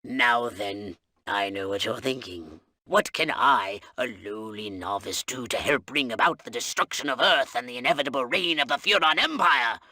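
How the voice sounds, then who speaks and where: A man's voice: mid-range voice, moderate pace, sharp tone. A man playing a pompous, scheming elderly alien commander in a video game, speaking in a theatrical, grandly enunciated manner. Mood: grandly explaining.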